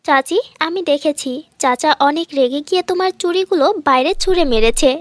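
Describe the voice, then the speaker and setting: A woman's voice: high voice, brisk, no room sound, natural voice. A woman voicing a dutiful, affectionate schoolgirl niece in a cartoon fairy tale, sweet and earnest. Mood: concerned.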